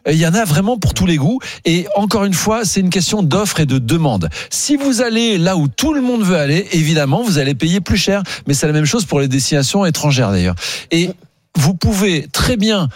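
A man on a radio panel argues his case with gusto and hammers points home by repeating them. His pitch sits slightly high. The studio sound is dry and close. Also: very animated, moderate pace, no echo. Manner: enthusiastic, repeats himself for emphasis. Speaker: regular columnist. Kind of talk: opinionated radio chat.

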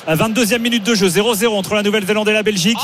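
Chatty high-voiced male radio commentator. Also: rapid-fire, crowd noise. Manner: chatty, hedging opinions with fillers. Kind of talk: live match commentary. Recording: radio broadcast with music behind.

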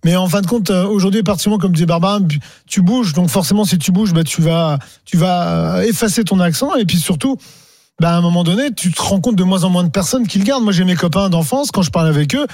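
A man chatting on a radio talk show in a rambling, animated way, close to a studio microphone. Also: high voice, fast, dry recording. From France. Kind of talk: casual radio chat.

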